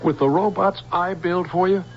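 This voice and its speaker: An actor playing a wary, courteous old scientist in a radio drama, hesitant and evasive in manner. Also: male voice, high voice, steady tone, normal speed. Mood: wary, resisting. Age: older. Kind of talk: American old-time radio drama acting. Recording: muffled vintage radio broadcast, faint hiss.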